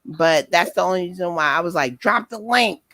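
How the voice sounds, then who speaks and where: Female panel guest, forceful bright voice with big pitch swings, slangy and dramatic in casual chat. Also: lively intonation, moderate pace, no echo, studio quality.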